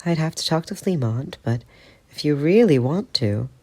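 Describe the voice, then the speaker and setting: A man putting on a calm, wry mother. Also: normal speed. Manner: calm, casual, slightly higher put-on voice. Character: composed, wry middle-aged mother and guardian.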